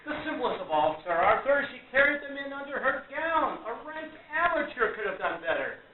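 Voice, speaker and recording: A woman's voice: high voice, big pitch swings, fairly quick. A woman playing a scornful, triumphant male magician in a radio play, declamatory and mocking. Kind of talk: stage radio play acting. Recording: tinny, echoey, distant with crowd noise.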